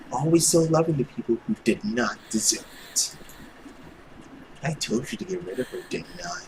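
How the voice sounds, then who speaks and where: A man voicing a sinister, possessive lover in a visual novel, hushed, cold and menacing. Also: mid-range voice, background noise. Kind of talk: visual novel character read-aloud.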